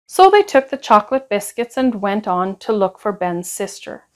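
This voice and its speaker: A woman reading a children's picture book aloud in an expressive storytelling style. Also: medium pitch, moderate pace. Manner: expressive, acts out dialogue.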